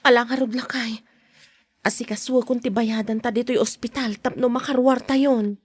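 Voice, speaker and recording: A woman's voice: a little high, steady tone, normal speed, slightly rough. A woman playing a caring, money-worried wife and mother in a podcast drama. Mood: practical. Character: caring wife and mother, anxious about money, soft-spoken.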